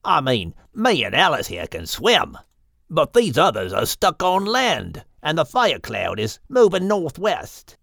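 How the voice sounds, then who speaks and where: A man's voice: a little high, wildly swooping pitch, a little slow, vocal fry, fairly bright tone, no room sound, clean studio sound, voice pushed up, full character voice. A man voicing a skeptical male crocodile. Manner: skeptical, questioning.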